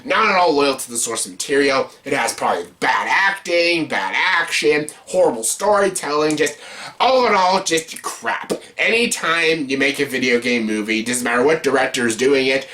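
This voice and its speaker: A man's voice: mid-range voice, moderate pace, bright, forceful tone. A man hosting a casual ranked-list movie review, blunt, profane and exasperated, repeating himself for emphasis. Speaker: video host, amateur movie reviewer.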